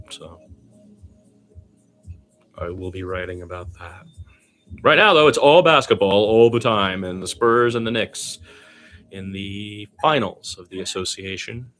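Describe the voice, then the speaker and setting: A man's voice: sits low, a bit bright. A man doing informal solo basketball play-by-play commentary, conversational and given to chatty asides.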